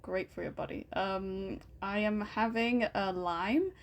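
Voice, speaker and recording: A woman's voice: mid-range voice, slow pace. A young woman from Hong Kong hosting a casual podcast chat, leading the talk with friendly questions and plenty of fillers. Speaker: podcast host.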